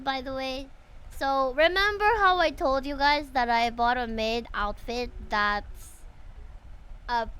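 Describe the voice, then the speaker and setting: A woman's voice: high voice, a bit bright, clear, resonant tone. A young woman from the Philippines, streaming live and chatting casually with her viewers in a bubbly, giggly way.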